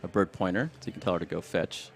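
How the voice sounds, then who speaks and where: A man from Sri Lanka, a game developer, walking through a demo of his game at a live event in a halting, filler-heavy way. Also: deep voice, vocal fry. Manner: halting, many fillers and restarts. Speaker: game developer, studio founder. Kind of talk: casual demo walkthrough.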